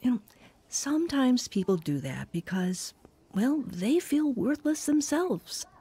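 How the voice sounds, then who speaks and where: Husky, motherly older woman. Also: sits high, very animated, slow pace, heavily acted. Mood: sympathetic. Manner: gentle, nurturing, counselling. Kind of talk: audio drama dialogue.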